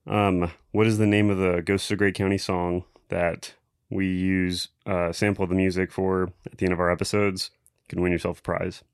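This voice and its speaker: A man hosting a casual podcast, talking in a relaxed, halting way with many pauses and ums. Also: low voice, smooth, no echo, studio quality. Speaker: podcast host.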